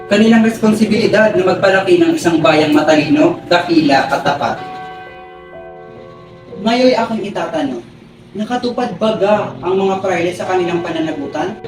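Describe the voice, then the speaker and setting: A man playing an indignant young student activist, delivering a declamatory dramatic speech. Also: high-pitched. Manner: declamatory, accusing, rhetorical. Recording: roomy, distant, music behind. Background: music.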